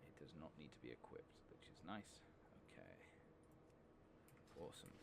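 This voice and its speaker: Very deep, gravelly soft-spoken man. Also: sing-song, normal speed, heavy background noise. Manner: hushed, intimate, at times slipping into whispers; casual, mildly sweary. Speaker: host of a gaming ASMR channel. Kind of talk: soft-spoken ASMR game playthrough commentary.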